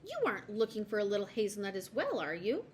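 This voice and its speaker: A woman's voice: mid-range voice, big pitch swings, quick pace, a little hiss or hum, close to an everyday voice. A woman voicing an unfriendly, mocking female magpie in a children's storybook, shrieking and cackling.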